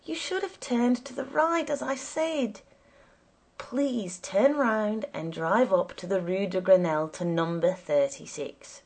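A woman's voice: lowish voice, a little slow, hardly any pauses, darkish tone. A woman voicing a stubborn but polite taxi passenger in an audiobook, speaking in formal, insistent, emphatic phrases. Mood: urgent protest. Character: indignant, stubborn, polite foreign taxi passenger, the story's narrator.

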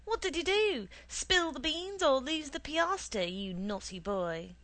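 A woman's voice: lowish voice, level tone, slow pace, no room sound. A woman voicing an exasperated, scolding mother in a storybook, sharply emphatic.

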